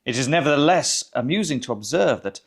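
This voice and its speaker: Man reading aloud with animated, swooping pitch. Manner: formal, emphatic, measured argumentative delivery. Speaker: reader of a short story. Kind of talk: dramatic literary reading aloud.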